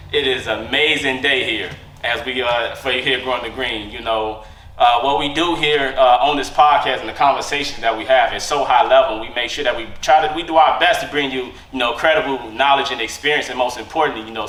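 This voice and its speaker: A man's voice: medium pitch, a bit bright. A man hosting a podcast in an earnest, casual way, filling gaps with "you know" and "uh". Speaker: podcast host and farmer. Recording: echoey room, far from mic.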